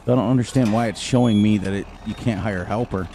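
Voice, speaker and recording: Middle-aged man, lowish voice, casual rambling game commentary, engine noise behind. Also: steady tone, quick pace. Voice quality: loud voice. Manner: rambling, self-correcting, thinking aloud. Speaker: gaming channel host. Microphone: desk microphone turned down low.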